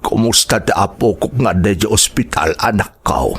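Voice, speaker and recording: A man's voice: lowish voice, animated, normal speed, husky. A man with an Ilocano accent playing a worried grandfather in a drama, with frequent dismayed exclamations. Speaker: father and grandfather character.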